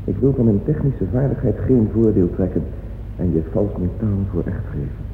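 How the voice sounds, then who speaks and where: Radio drama: proud, earnest composer, formal and measured, low voice, long echo on a narrow old recording. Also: male voice, expressive. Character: courteous, proud, earnest young male composer. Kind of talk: dramatic radio play, reciting letters. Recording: echoey, phone-like old radio recording, faint noise.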